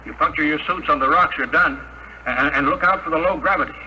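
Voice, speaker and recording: A man acting in a 1950s radio drama as a brisk, cautious scientist explorer, formal and instructive. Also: fairly high pitch, pushed and bright. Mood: cautioning. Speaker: radio actor. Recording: noisy old radio broadcast.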